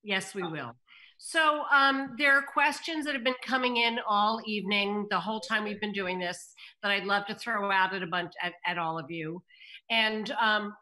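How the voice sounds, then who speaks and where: A woman's voice: mid-range voice, bright, forceful tone. A middle-aged woman moderating on a video call, reading out script directions and audience questions. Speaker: moderator and stage-direction reader. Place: home room, video call.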